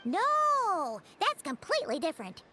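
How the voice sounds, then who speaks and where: An extremely high, lively little fairy voice from a video game. She chirps and explains eagerly in a childlike, casual way, with music clearly behind her. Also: measured pace, full character voice. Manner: squeaky, chirpy, informal.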